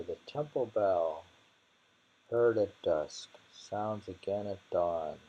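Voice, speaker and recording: Male poetry reader, deep and husky, dark tone, unhurried delivery with informal asides. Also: rough recording. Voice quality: gravelly, husky. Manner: deliberate, lingers over and repeats phrases. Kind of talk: slow poetry reading with informal commentary.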